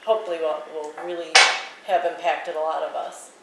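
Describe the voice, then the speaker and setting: A woman's voice: low voice, flat delivery, fairly quick, creaky. Earnest woman host in an echoey room. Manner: earnest, appreciative, wraps up the discussion. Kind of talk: round-table discussion. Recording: very echoey room, mic far off, faint noise.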